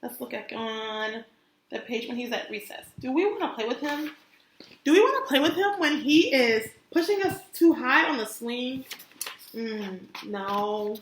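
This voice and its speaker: A woman kindergarten teacher reading aloud to young children in an expressive, encouraging way, stopping to ask them questions. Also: sits high, slight background noise. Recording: roomy, echoey sound.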